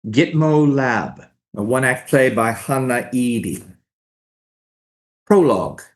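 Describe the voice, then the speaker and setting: A man's voice: mid-range voice, expressive, slow pace. A man narrating at a staged play reading, reading scripted stage directions aloud.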